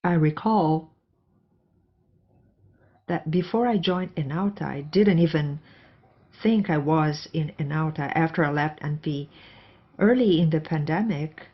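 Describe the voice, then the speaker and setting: A woman's voice: deep voice, a little slow, long pauses, slightly rough. A woman interpreting live on a corporate earnings call, speaking phrase by phrase with occasional self-corrections. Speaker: simultaneous interpreter. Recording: dull, lo-fi conference call audio.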